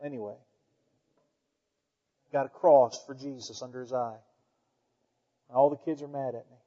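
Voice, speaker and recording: A man's voice: mid-range voice, fairly even intonation, normal speed, warm tone. A man in his forties preaching a fervent sermon to his congregation, full of rhetorical questions and folksy asides. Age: 40s. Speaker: pastor. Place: church sanctuary. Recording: dull sound in a reverberant church hall.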